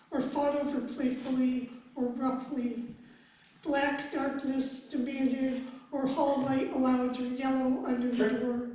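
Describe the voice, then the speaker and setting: A woman reading poetry aloud at a live event with measured, deliberate phrasing. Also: high-pitched, mellow. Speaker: poet reading her work. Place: book launch event in an echoey room. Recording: echoey room, distant mic, faint noise.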